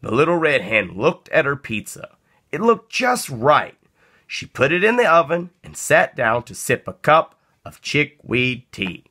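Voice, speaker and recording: A man narrates a children's storybook aloud. His voice is bright and very lively in pitch, and he takes his time, phrasing each line expressively and deliberately. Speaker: storybook reader.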